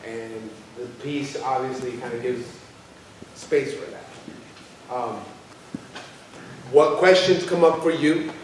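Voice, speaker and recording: A man moderating an informal community discussion, speaking haltingly as he searches for words. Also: medium pitch. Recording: very echoey, distant, with faint noise.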